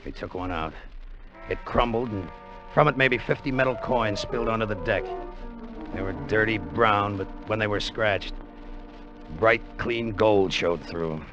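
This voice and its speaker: An actor playing an excitable American salvage crewman and gambler who narrates his adventure in 1950s radio drama style. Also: fairly low pitch, measured pace, dull audio, close to an everyday voice. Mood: wry, hushed narration. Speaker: narrator and character. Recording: vintage radio with music behind.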